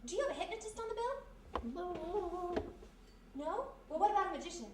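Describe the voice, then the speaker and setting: A woman playing a perky, quick-thinking detective's sidekick with a coaxing manner, in old-time radio mystery style. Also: very high voice, very animated. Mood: brisk, eager. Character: quick-thinking young female detective's sidekick, former vaudevillian. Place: live performance in a roomy historic house. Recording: echoey live stage, distant mic, hiss.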